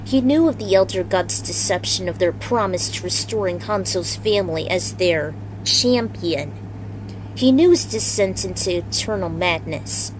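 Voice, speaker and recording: A woman's voice: on the low side, animated, measured pace, slight background noise, low-quality recording. A woman reading a dramatic fantasy story aloud as its narrator, in an amateur recording. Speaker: fan fiction reader. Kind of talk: story narration with character voices.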